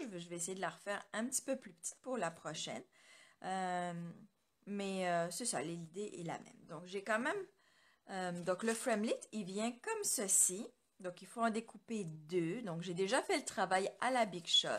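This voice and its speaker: A woman from France hosting a live craft tutorial from her home studio, chatting loosely and greeting viewers by name. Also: mid-range voice. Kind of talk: casual live tutorial chat. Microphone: computer camera mic.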